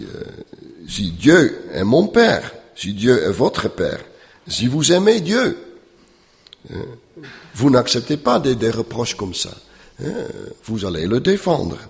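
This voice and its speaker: A non-native man lecturing on scripture, slow and halting, with lots of "euh" and tag questions. His voice is gravelly and swings up and down a good deal. A long reverb trails behind him, as if he were in a big hall. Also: mid-range voice. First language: not French. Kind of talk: lecture on the Bible.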